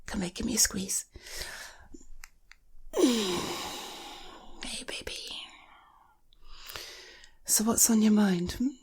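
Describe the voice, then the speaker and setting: British woman acting as a loving girlfriend at bedtime, asmr style, soft n husky, bit of fry, soothing and teasing. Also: medium pitch, heavily acted. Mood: tender, soothing. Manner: soothing, unhurried, murmuring. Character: affectionate, gently teasing woman soothing a sleepless partner at bedtime. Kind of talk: intimate ASMR bedtime roleplay.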